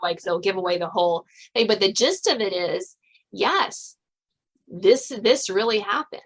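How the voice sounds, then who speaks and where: An American woman hosting a casual interview about writing, talking in an animated, conversational way. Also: medium pitch, a little slow. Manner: animated, conversational, quotes others' reactions in dialogue. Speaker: podcast host and suspense novelist. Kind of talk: casual author interview chat.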